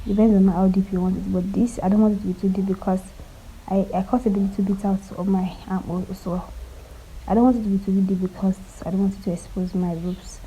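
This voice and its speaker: A woman with a cold walking viewers through cutting and sewing a top, speaking quietly and stopping often to check they are following. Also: little pitch movement, a little fast, slight background noise, low-quality recording. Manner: quiet, low-volume delivery. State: has a cold. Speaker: sewing tutor. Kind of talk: casual step-by-step sewing tutorial.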